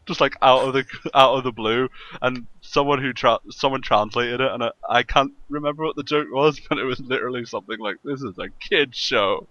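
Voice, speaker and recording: A man hosting a casual gaming podcast chat in a jokey way, quick to laugh, on slightly lo-fi audio. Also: medium pitch, pushed and bright. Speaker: podcast host and streamer.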